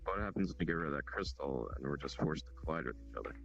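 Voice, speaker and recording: A man's voice: rumbling low, racing, creaky, a bit bright, soft background music, heavily acted. A man voicing a weary guardian who talks hesitantly, sighs and apologizes, on a dull lo-fi mic. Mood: wary. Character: weary male guardian of the humans. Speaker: roleplay player. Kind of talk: in-character roleplay dialogue.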